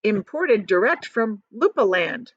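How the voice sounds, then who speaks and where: A woman voicing an eccentric, proud and excitable male chocolate factory owner in a theatrical, emphatic storybook manner. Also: mid-range voice, a little slow, breathy edge, no echo, muffled recording. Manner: theatrical, emphatic, stretching key words.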